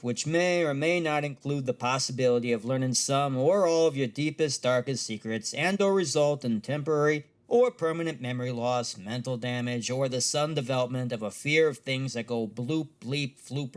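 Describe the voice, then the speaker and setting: A man's voice: medium pitch, moderate pace, hardly any pauses, lightly acted. A man playing a brash, nerdy card-collecting hustler with a mind-reading trade, haggling in a businesslike way in an audio drama. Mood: brisk, businesslike.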